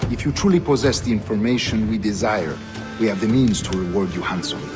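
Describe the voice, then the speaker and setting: An actor playing a dignified, courtly Spanish governor reading a letter as game voice-over, formal and measured, with a Spanish accent. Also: male voice, lowish voice, close to an everyday voice. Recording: loud music over the voice.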